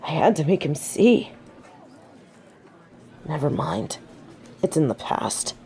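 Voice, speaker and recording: A woman acts out a haughty tsundere angel in an audio drama. Her voice is low and dark, and she takes her words slowly. She carries herself with wounded pride, defensive and theatrical. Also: expressive, gravelly, heavily acted. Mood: bitter, defensive. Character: haughty tsundere fallen angel of judgement, proud, wounded, flustered. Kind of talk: scripted audio roleplay acting.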